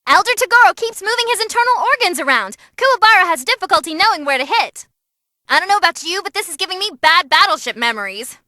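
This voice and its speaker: Squeaky, excitable young demon girl announcer. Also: quick pace, dry recording, studio quality, full character voice. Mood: astonished. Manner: bubbly, cheeky, dramatic. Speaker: fight commentator. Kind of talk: animated fight commentary.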